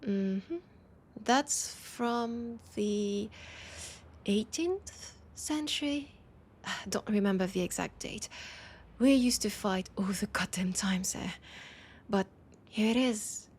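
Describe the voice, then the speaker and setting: A woman with a French accent playing a teasing, nostalgic, centuries-old vampire in an intimate audio roleplay, her breathy voice often dropping to a whisper. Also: mid-range voice, slow, pauses often, darkish tone. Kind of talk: intimate audio roleplay, one-sided dialogue.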